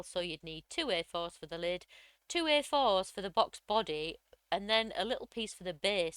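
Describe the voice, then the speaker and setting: A woman, slightly croaky from a cold, chattily walking through a crafting software tutorial step by step. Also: lowish voice, dry recording. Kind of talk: screen-recorded software tutorial.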